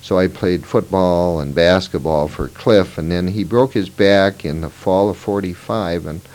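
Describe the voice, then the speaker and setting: Man, fifties, Minnesota-raised, low voice; interviewee telling his life story, rambling, self-correcting. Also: measured pace, a little mellow, rough recording. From Moorhead, Minnesota. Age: 50s. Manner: reminiscing, meandering with fillers and self-corrections. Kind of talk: oral history interview.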